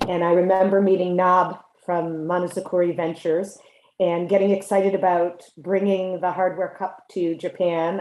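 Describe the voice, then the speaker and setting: A woman's voice: lowish voice, mellow, reverberant, low-quality recording. A middle-aged woman giving semi-formal introductory remarks as a contest judge and investor. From United States.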